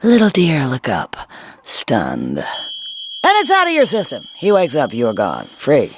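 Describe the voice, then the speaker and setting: An actress playing a brazen, lusty and bossy wild nymph in a comedic audio drama, with breathy, drawn-out, seductive delivery. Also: female voice, extremely low pitch, wildly swooping pitch, a little hiss or hum, heavily acted. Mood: ecstatic.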